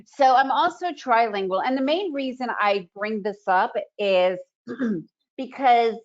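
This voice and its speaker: A middle-aged woman whose first language is Russian, giving an energetic, motivational online trading presentation and often asking "right?". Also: fairly high pitch, slow. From Soviet Union. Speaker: trading educator presenting a webinar. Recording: slightly dull lo-fi webinar audio.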